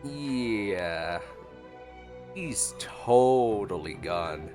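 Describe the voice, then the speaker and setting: A man's voice: lowish voice, expressive, very slow, darkish tone. A man giving casual, playful reactionary commentary as he streams a game. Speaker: gameplay streamer. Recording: game music behind the voice.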